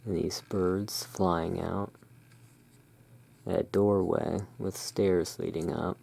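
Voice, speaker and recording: A low-pitched, gravelly ASMR voice that moves very slowly through its descriptions. The delivery stays level and hushed, as a roleplaying shopkeeper muses over artwork. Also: steady tone. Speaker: host of a roleplay as a curiosity emporium owner. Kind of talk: ASMR roleplay, describing tarot card art.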